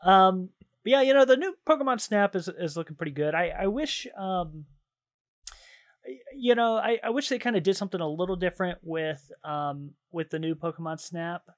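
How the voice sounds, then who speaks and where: A man hosting a gaming podcast, talking casually in a loose, halting conversational way. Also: sits high. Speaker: podcast host.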